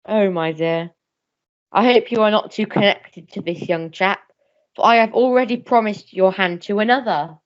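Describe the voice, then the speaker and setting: A woman playing a gentle, patronising father in a comic melodrama radio play, speaking formally. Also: medium pitch, moderate pace, muffled recording, close to an everyday voice. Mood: placating. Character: placating, patronising middle-aged father of a young bride.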